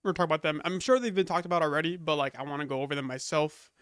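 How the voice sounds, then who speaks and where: A man's voice: a little high, racing, fairly bright tone, smooth, no room sound, clean studio sound. A young man recovering from a cold, rambling casually with lots of fillers as he goes over game leaks. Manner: rambling, many fillers and restarts. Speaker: gaming YouTuber. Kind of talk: casual commentary reviewing leaks.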